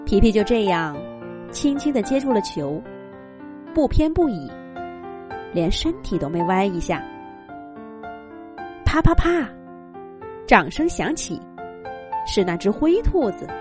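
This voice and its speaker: A woman telling a children's story with gentle, expressive narration. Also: mid-range voice, measured pace, pauses often, over music.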